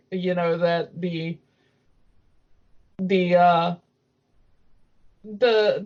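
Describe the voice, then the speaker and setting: A woman's voice: medium pitch, muffled recording. A woman co-hosting a podcast, recapping a cartoon in casual chat with rambling, amused storytelling. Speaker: podcast co-host.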